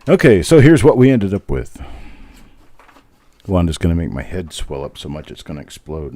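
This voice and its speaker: A man with a very low, deep voice and a smooth, mellow tone hosts a live coin reveal and chats along in a relaxed way. He makes easygoing, self-deprecating jokes between coins. The recording is dry and close, with little room sound. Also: dry recording. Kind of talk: casual live-stream commentary.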